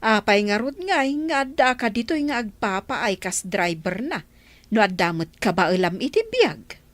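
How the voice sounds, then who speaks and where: An actress with an Ilocano accent playing a proud, moralizing older mother with a sharp tongue in a comedy radio drama. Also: mid-range voice, lively intonation, moderate pace, dry recording, lightly acted. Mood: scolding.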